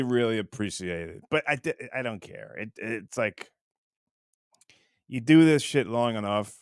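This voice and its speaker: A man's voice: fairly low pitch, smooth, dry recording, clean studio sound. A man hosting a livestream in casual chat, reading out comments and rambling in a self-deprecating way. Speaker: livestream host.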